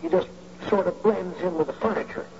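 An actor playing a sly, folksy old bank guard who can be bought, in an old radio drama. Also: male voice, high-pitched, brisk, a little mellow, lightly acted. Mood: smug, menacing. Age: older. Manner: folksy, ungrammatical, drawling authority. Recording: muffled, hissy old radio recording.

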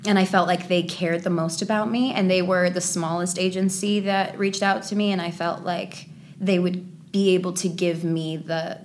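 A woman's voice: fairly low pitch, fairly even intonation, moderate pace, darkish tone, a little hiss or hum. A woman in her twenties, a native Polish speaker, telling personal stories casually and informally as an actress being interviewed. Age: 20s. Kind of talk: casual chat, personal storytelling.

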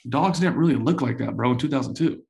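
A man in his forties telling stories in a casual interview, full of slang and swearing, excitable and often repeating himself. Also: medium pitch, quick pace, creaky, echoey room. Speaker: entrepreneur guest.

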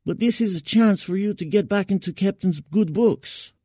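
A man voicing a tense, earnest female spaceship crewmate in an audiobook story, measured and coaxing. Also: sits high, dark tone, no echo, studio quality, pitched above the performer's own voice.

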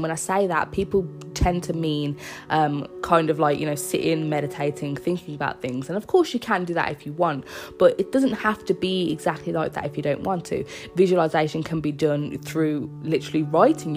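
A woman's voice: low voice, darkish tone. A young woman with a cold and a stuffy nose, hosting a casual solo podcast in an earnest, reassuring way. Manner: earnest, reassuring, with small laughs. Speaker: podcast host.

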